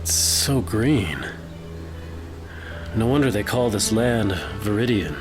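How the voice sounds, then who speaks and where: A man playing a mighty but tender fantasy warrior in a radio play, understated and unhurried. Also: fairly low pitch, animated. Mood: tender, awed. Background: music.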